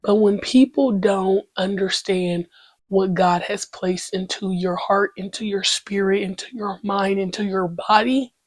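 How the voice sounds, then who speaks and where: A woman's voice: medium pitch, steady tone, a little slow, dry recording. A woman hosting her own podcast in a loose, slangy monologue, swearing freely and breaking into praise. She is congested and her voice is still coming back. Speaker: podcast host. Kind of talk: casual faith-filled podcast monologue.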